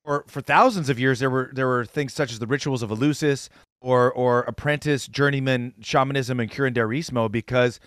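A man reporting news on a casual talk show, earnest and halting, with many fillers. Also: mid-range voice, steady tone, fairly bright tone, clean tone, dry recording, clean studio sound. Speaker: correspondent. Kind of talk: casual panel chat.

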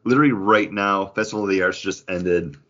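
A man with a low, forceful voice chats casually as a podcast co-host. He slips into quoted dialogue as he tells stories. The recording is slightly dull and lo-fi. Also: brisk. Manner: anecdotal, acts out remembered dialogue. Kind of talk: casual chat among friends. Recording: dull, band-limited audio.

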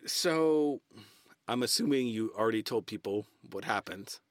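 Lively joking man, dry close sound. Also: lowish voice, measured pace, dry recording. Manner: joking, teasing. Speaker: podcast host. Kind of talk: casual podcast chat.

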